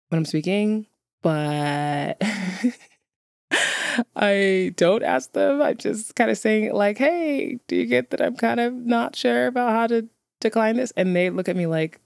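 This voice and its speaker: A woman's voice: mid-range voice, gravelly, a little mellow, dry recording, clean studio sound. A woman who is a native English speaker, chatting casually to viewers as a vlogger in a wry, candid, self-deprecating way.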